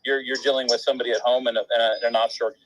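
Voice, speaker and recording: A man in a casual podcast conversation, readily agreeing and speaking haltingly with many false starts. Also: medium pitch, little pitch movement, rough recording.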